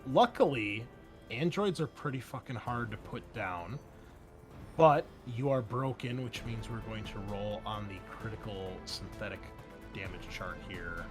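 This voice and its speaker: A man acts as game master for a tabletop session, with a mid-range voice over soft background music. He explains the action casually, slipping in wry asides and the odd swear word. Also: mid-range voice. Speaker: game master and host of an actual-play show.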